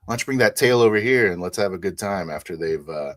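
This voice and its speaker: A man playing a jaded, gruff vampire party organizer, speaking casually and wryly in an improvised tabletop roleplay. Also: low-pitched, expressive, fast, fairly bright tone. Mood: coaxing, casual.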